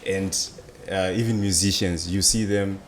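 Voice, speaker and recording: Deep-voiced young Zimbabwean man, faint noise. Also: steady tone, a little slow. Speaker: interview guest, student entrepreneur. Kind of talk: conversational storytelling.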